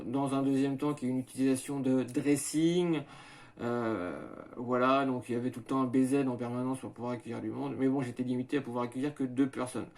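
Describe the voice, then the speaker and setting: A man in his thirties from western France presents himself and his farm project to camera, halting often with fillers and restarts as he goes through his points one by one. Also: mid-range voice, gravelly, dark tone. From France, Maine-et-Loire. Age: 30s. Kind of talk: hesitant self-presentation to camera.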